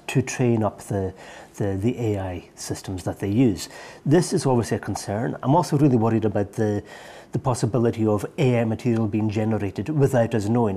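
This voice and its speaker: A man's voice: on the low side, dark tone, husky. A man from Scotland answering interview questions thoughtfully, with small restarts. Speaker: interview guest, poet. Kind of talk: live interview.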